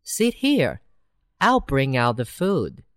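A woman voicing a simple, cheerful country mouse in a children's storybook reading, slow and wide-eyed. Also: rumbling low, wildly swooping pitch, dry recording, studio quality, exaggerated voice. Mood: welcoming.

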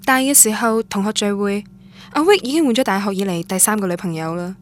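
An actress playing a young student looking back on lost love, narrating reflectively in Guangdong Cantonese in a radio drama. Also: female voice, mid-range voice, fast, natural voice. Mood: wistful. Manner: wistful, reflective, literary. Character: wistful young woman student recalling lost first love. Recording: music bed under voice.

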